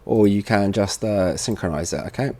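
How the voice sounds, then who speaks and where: A man giving an informal software tutorial, thinking aloud as he works at a computer. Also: on the low side, normal speed. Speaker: tutorial presenter for a security products seller. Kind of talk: casual software walkthrough narration.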